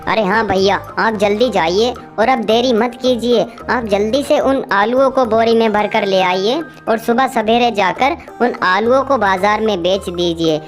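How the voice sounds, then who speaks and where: A woman's voice: medium pitch, measured pace, hardly any pauses, natural voice. The busy little sister bird in a children's farm cartoon acted by a woman, forever cheerfully hurrying everyone along over a light music bed. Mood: excited, urging. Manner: eager, urging, exclamatory. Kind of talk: animated children's story dialogue.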